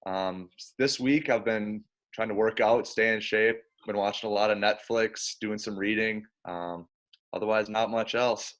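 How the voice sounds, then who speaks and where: A man's voice: lowish voice, fairly even intonation, unhurried, fairly bright tone. A man in his early twenties who speaks casually, as a guest chatting or reading a children's storybook aloud. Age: early 20s. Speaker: college basketball player, guest. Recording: dull lo-fi sound.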